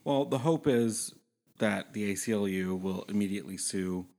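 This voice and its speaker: A man co-hosting a casual podcast, relaxed and agreeable, filling pauses with ums and backing his co-host with mm-hmms. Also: fairly low pitch. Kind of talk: casual chat.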